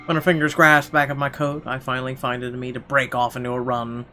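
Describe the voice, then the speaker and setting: A man's voice: mid-range voice, flat delivery, fast talker. Man reading horror fiction aloud over music. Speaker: gamer reading a visual novel aloud. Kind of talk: dramatic first-person narration reading.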